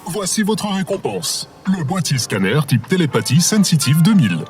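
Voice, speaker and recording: A man playing a polite, impersonal automated announcer in an audio drama, with formal, measured phrasing. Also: a little high, very animated, faint music behind.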